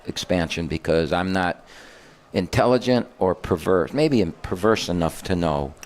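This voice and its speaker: Older man, basketball coach, low pitch, rambling, self-correcting, casual radio talk. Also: expressive, a little slow. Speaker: college basketball head coach.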